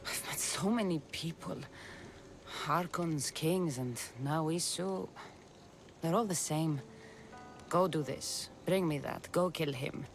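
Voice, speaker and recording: A woman's voice: low-pitched, slow pace, faint music behind. A woman playing a guarded, loyal mercenary hero in a video game, dry and earnest. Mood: weary, reflective. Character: guarded, loyal adult female Greek mercenary hero, dry and world-weary. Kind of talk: video game cutscene acting.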